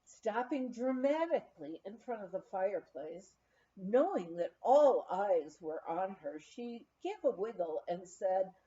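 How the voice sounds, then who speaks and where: Slow-paced, lively woman reading a memoir. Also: mid-range voice, dull audio. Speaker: volunteer audiobook reader. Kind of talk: scripted narration of a memoir.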